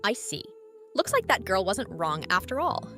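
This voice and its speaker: Romance dub role: actress as cool, self-possessed young woman, direct questioner, bright tone, music behind. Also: mid-range voice, very animated, normal speed. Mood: composed. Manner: composed, direct, probing. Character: cool, poised, probing beautiful woman of twenty-seven. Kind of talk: animated comic dub acting. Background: background music.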